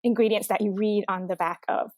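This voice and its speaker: A woman from Taiwan, a company representative, talking casually in an interview and pausing often with filler words. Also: mid-range voice, steady tone, darkish tone, no room sound, studio quality. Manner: halting, full of filler words.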